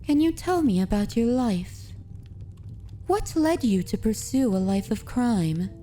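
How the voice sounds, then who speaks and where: A woman playing an aristocratic vampire mistress in an ASMR roleplay, predatory yet consoling, speaking formally and deliberately in a soothing way. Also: a little high, big pitch swings, warm tone, slight background noise. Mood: gentle, sympathetic. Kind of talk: ASMR roleplay, scripted dramatic monologue.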